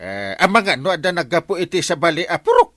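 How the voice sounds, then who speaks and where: An actor playing a slow-witted, jovial village captain in a comedy radio drama, hesitant and bumbling, with a northern Philippine Ilocano accent. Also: male voice, fairly high pitch, sing-song, fairly bright tone, dry recording, studio quality.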